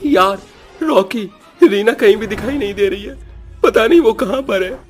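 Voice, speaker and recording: Man acting a high-strung, pleading young man. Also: normal speed, soft background music, natural voice. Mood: tearful, worried. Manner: strained, pleading, dramatic. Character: frightened, pleading young man in horror cartoon.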